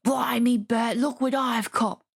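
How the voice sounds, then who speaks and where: A woman voicing a rough, dim, hungry male troll with drawling rustic speech in a storybook reading. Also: fairly high pitch, fairly even intonation, a little slow, exaggerated voice. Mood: surprised.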